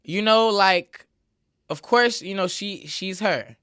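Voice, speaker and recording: A woman's voice: mid-range voice, takes it slowly, a bit bright, smooth. A woman hosting her own podcast, talking casually and emphatically on her own with a lot of repeated filler tags. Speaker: podcast host. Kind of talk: casual solo podcast monologue.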